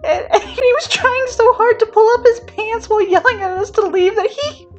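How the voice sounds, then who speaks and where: A woman voicing a cheeky, giggly, excitable young man telling an embarrassing story in audio fiction. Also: very high-pitched, moderate pace, dull audio, pitched above the performer's own voice. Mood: hysterical, loud. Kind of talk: animated audio fiction dialogue.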